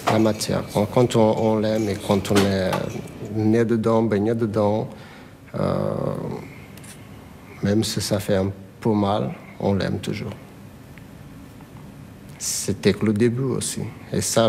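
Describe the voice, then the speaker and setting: A man from Portugal who works as a leather cutter, speaking in a documentary interview. He speaks in a reflective, measured way. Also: fairly low pitch, vocal fry. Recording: noisy background.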